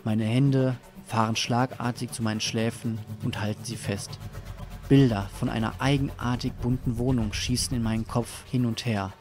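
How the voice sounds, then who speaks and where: Man playing tormented disoriented man, brooding audio drama narration, medium pitch, music underneath. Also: steady tone, moderate pace, a little mellow, close to an everyday voice. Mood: anguished, brooding. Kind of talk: scripted first-person audio drama narration.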